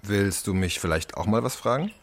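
A man's voice: lowish voice, lively intonation. A man acting in a children's radio play as a doting working-class father, colloquial and affectionate. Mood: playful. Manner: colloquial, affectionate, halting when reading aloud.

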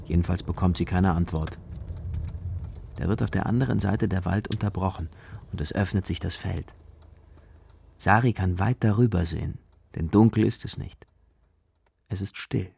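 A man narrating a children's radio play as a calm, watchful storyteller in short, measured sentences. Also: sits low, gravelly, slightly rough, dry recording, exaggerated voice.

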